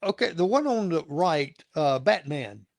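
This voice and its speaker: Host, male, from North Carolina, slightly high voice, dry close mic, rambling and chuckly. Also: sing-song, measured pace, creaky, no echo. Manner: rambling, chuckling often, many restarts. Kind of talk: casual interview chat.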